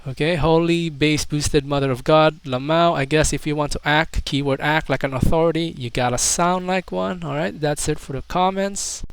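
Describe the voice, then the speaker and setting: A man's voice: fairly high pitch, fairly even intonation, normal speed, few pauses, clean tone, no room sound. A man doing casual YouTube commentary, reading comments aloud in a mocking, incredulous manner with hesitant asides.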